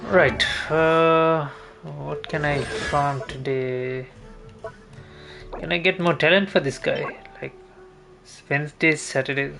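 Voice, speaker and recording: Slow-talking man muttering through a video game. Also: on the high side, steady tone, creaky. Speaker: streamer playing a video game. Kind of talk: casual gameplay commentary, thinking aloud. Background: game music.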